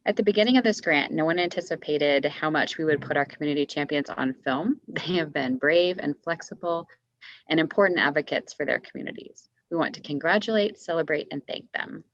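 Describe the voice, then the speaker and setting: Female presenter, lower register, reading prepared remarks on a dry, close, slightly dull recording. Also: fairly quick, no room sound. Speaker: grant co-manager, presenter. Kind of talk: scripted presentation. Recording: dull, dry online meeting audio.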